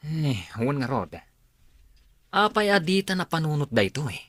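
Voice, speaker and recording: A man's voice: on the high side, very animated, moderate pace, fairly bright tone, no echo, clean studio sound. A man with an Ilocano Filipino accent playing a cunning accomplice in a drama. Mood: scheming. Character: cunning male accomplice plotting a swindle. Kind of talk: TV drama acting.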